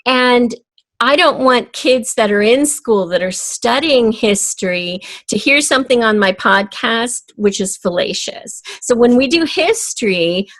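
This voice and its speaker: A woman's voice: fairly high pitch, lively intonation, a little slow, a bit bright. An older woman talking conversationally as a writer being interviewed. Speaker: audio drama writer, interview guest.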